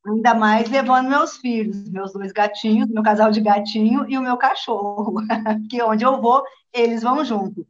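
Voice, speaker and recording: A middle-aged Brazilian woman speaks as a guest in an online interview. Her voice is fairly high and she tells her own story casually and conversationally. The call audio is dull and lo-fi. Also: steady tone, moderate pace. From Rio Grande do Sul, southern Brazil. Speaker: guest, journalist. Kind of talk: casual personal account in an interview.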